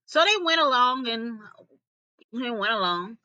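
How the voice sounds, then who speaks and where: A woman reading a folktale aloud as an expressive storyteller. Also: a little high, measured pace, dry recording, highs cut off.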